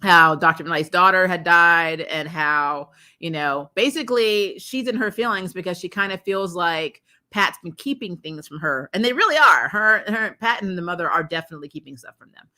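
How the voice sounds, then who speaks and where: A woman with a somewhat low voice and a bright, forceful edge hosts an off-the-cuff review. She talks casually and slangily, peppering her sentences with fillers like "you know". She is animated and acts out reactions as she retells scenes. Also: normal speed, hardly any pauses. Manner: animated, exclaiming, acts out reactions, laughs often; informal slangy talk with frequent "like" and "you know". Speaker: host of a TV episode review show.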